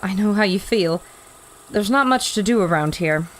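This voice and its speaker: A woman's voice: medium pitch, animated, moderate pace, a little hiss or hum, lightly acted, low-quality recording. An actress playing an inquisitive lady reporter who narrates to listeners in a 1930s-style radio adventure drama. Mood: bright, curious.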